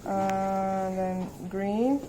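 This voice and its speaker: A woman's voice: mid-range voice, fairly even intonation, extremely slow, noisy background. A woman teaching a hands-on art class, thinking aloud step by step and putting questions to her learners. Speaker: art teacher demonstrating colour mixing. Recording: loud chatter of people behind.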